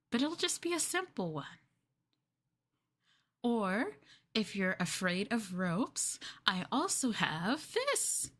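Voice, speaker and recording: A woman voicing a sweetly possessive, obsessive young girlfriend in an ASMR roleplay, coaxing and cooing between soft speech and whispers. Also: medium pitch, full character voice. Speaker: ENVtuber streamer performing ASMR roleplay.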